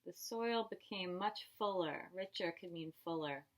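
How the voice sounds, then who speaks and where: A woman teacher reading a picture book aloud to young children, expressive and instructive, stretching words for emphasis and pausing to ask questions. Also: fairly low pitch, slight background noise.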